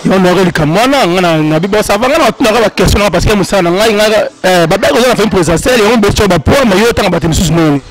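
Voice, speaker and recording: Male host, high voice, halting delivery, music clearly underneath. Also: animated, low-quality recording. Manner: halting, many restarts. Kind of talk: interview in Lingala and French.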